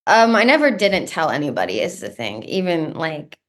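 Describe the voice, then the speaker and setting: A woman's voice: low-pitched, very animated, moderate pace. Rambling woman of thirty on a video call. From Texas. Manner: rambling, self-deprecating storytelling with many fillers. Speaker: guest. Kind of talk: casual podcast chat. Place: room at home.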